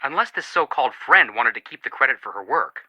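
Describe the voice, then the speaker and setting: Young man, earnest sympathetic detective, very bright voice, lively swings in pitch, video game dialogue. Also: a little fast, no echo. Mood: animated, sympathetic. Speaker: video game character voice actor. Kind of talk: scripted game phone dialogue.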